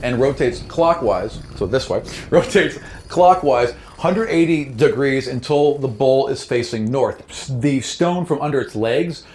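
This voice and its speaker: A man's voice: medium pitch, expressive, a little slow, few pauses, a little mellow, slight background noise. A man working as game master, narrating a tabletop roleplaying game with vivid, informal storytelling. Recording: music playing behind the voice. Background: music.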